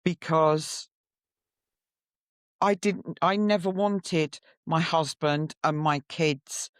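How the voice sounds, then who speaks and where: Middle-aged woman, podcast guest, reflective and candid, very measured pace, intimate dry recording. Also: deep voice, clean tone, no echo. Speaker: podcast guest sharing her story. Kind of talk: personal storytelling in interview.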